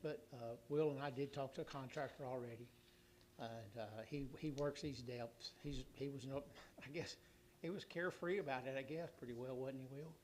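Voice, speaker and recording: A hoarse man giving a city council utility report, rambling and halting with many restarts and fillers. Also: mid-range voice, slight background noise.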